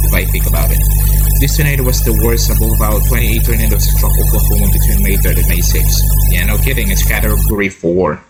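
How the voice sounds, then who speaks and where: A man giving casual, excitable reaction commentary, repeating words and breaking into his own read-aloud with asides. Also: on the low side, poor audio. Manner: excitable, repeats words, interjects while reading. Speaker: host of a reaction video.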